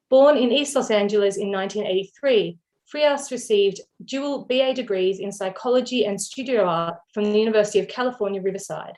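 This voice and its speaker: Australian-raised woman reading formal welcome. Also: medium pitch. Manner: steady, formal reading of prepared remarks. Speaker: event host. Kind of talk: scripted event welcome and introduction. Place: online video event.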